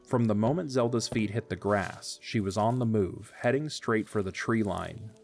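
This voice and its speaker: A man narrates a romantic adventure story in a calm, measured way. His voice sits a little low. Soft background music plays faintly throughout. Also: vocal fry. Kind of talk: scripted audio drama narration.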